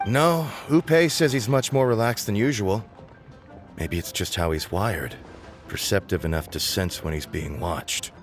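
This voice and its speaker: A dutiful male agent from a video game voiced by an actor, with a fairly low voice. He is earnest and deferential, laying things out seriously while music plays softly beneath. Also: unhurried, lightly acted. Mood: serious reporting. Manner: deferential, serious, reporting in detail. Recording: music playing underneath.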